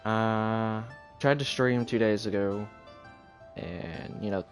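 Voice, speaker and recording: A young adult man chatting casually on a live game stream in a rambling, halting, low-key way. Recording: game music behind voice. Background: video game music.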